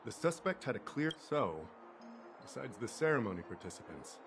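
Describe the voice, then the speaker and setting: A methodical investigator lays out his words formally and analytically, as in game dialogue. The voice is mid-pitched for a man, with music clearly audible underneath. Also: big pitch swings, normal speed. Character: methodical, dutiful male investigator presenting a case. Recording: loud background music under voice.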